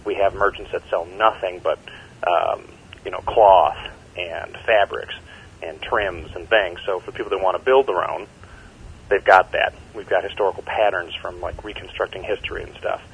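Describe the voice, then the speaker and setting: Deep male voice, enthusiastic event guest, muffled lo-fi phone recording. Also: fairly even intonation, normal speed, gravelly, breathy edge. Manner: rambling, many fillers, enthusiastic. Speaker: event organizer, interview guest. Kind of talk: phone interview.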